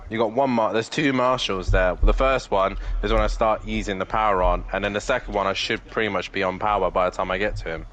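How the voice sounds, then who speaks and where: Fast-talking low-voiced racer with a cold. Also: male voice, steady tone, a bit bright. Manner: explains driving lines, swears casually. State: has a cold. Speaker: sim racing driver. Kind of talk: casual voice chat before a race.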